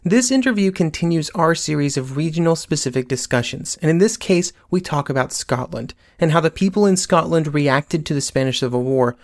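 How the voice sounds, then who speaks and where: A man's voice: a little high, no room sound, studio quality. Man hosting a history podcast. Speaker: podcast host.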